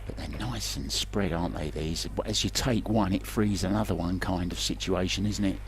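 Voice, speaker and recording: Man, sports commentator, lowish voice, chatty, joking, faint background noise. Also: moderate pace, creaky. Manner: chatty, jokey, asks co-commentator questions. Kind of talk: remote pool commentary. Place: remote booth.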